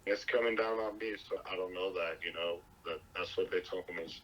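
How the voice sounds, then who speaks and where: A man's voice: low voice, steady tone, fairly quick, a bit bright. A man negotiating over the phone in a firm, complaining manner. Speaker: business partner on a phone call. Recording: dull phone call, faint noise.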